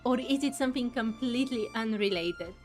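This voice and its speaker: A woman's voice: fairly high pitch, brisk, a little mellow. A woman giving casual commentary as she plays through a game, chatty and musing aloud, with game music behind. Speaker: gaming streamer. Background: faint game music.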